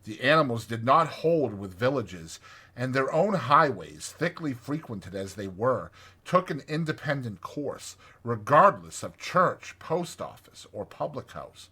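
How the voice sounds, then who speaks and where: A male audiobook narrator reads literary prose with deliberate, expressive phrasing. His voice is bright and forceful with a husky grain, and his pitch moves in lively swings. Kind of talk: dramatized literary storybook narration.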